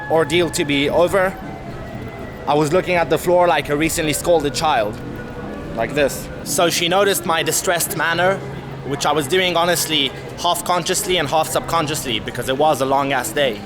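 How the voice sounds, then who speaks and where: Animated young man vlogger, music behind. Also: on the high side. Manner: candid, animated, swears casually. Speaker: travel vlogger. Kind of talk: casual storytelling to camera. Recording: music playing under the voice.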